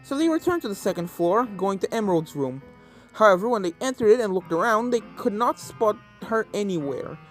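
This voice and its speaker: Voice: medium pitch, very animated, brisk. Story narrator with music behind. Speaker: fan fiction narrator.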